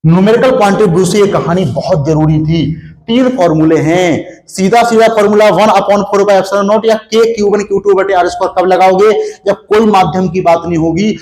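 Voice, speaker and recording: A man's voice: high voice, moderate pace, darkish tone, echoey room, low-quality recording. A young man with a sore throat, teaching a physics class in a hot studio, explaining step by step and asking students questions that he answers himself. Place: studio with a board, hot, no air conditioning.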